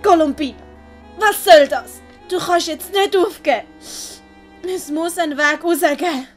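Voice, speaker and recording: A woman with a Swiss accent voicing an emotional, earnest young servant woman in an audiobook, plaintive and expressive. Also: very high-pitched, measured pace, voice pushed up. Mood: frustrated. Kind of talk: audiobook character acting. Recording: loud background music.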